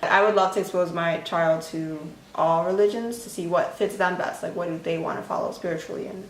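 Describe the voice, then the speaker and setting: A woman's voice: on the low side, steady tone, a little fast, echoey room, a little hiss or hum, poor audio. A young woman from the United States chatting casually about her upbringing, with frequent fillers. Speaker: interviewee in a couple's conversation. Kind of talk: casual personal chat.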